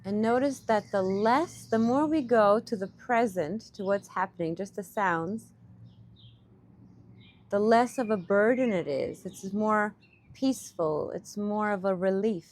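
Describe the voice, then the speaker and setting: A woman guides a meditation at a very unhurried pace, in a mid-range voice. Her phrasing is gentle and instructive, with room left between thoughts. She is speaking outdoors in a park, with birds chirping around her. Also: medium pitch. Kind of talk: guided meditation, softly instructive and partly improvised.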